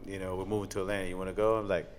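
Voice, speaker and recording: Low-voiced middle-aged American man reminiscing. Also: level tone, rapid-fire. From Allentown, Pennsylvania, USA. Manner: laid-back, peppers talk with "you know". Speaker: interview guest, DJ. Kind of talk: casual conversational reminiscing. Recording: clear background noise.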